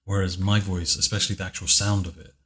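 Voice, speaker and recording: A man with a very deep, low voice, chatting casually in a commentary on his own video, speaking softly and hesitantly with many fillers. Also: little pitch movement, quick pace. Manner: soft-spoken, hesitant, with many fillers and restarts. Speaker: video creator giving commentary.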